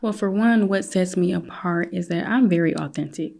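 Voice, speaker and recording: A woman's voice: lowish voice, normal speed, vocal fry, darkish tone. A woman in her forties from Detroit, a photographer guest chatting casually and laughing easily on a podcast. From Detroit, USA. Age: 40s. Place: brick-walled podcast studio. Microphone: desk mic on stand.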